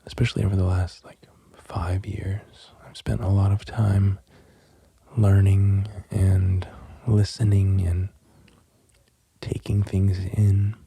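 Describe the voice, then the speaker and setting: A soft-spoken man in an ASMR style, with a very dark, mellow and breathy voice full of gravelly fry. He goes gently and slowly, often slipping toward a whisper, close to the mic. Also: deep voice, level tone, no room sound. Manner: gentle, unhurried, halting with long pauses. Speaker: host of an ASMR channel. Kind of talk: soft-spoken ASMR, musing and poem reading. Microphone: close microphone.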